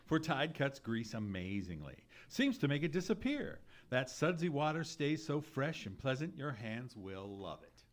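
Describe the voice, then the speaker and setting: An actor playing an upbeat vintage radio announcer delivering an emphatic, deliberate sales pitch. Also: male voice, big pitch swings, lightly acted. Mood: upbeat selling. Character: smooth-selling, upbeat old-time radio pitchman. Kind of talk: scripted vintage radio commercial.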